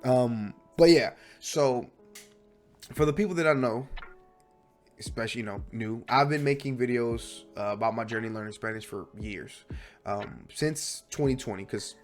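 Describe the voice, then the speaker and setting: A young man with a stuffy voice from a cold, chatting casually and correcting himself often as he talks about his own learning. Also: medium pitch. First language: English. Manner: chatty, self-correcting, restless. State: sick, stuffy voice. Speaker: language learning vlogger. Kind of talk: casual rambling monologue.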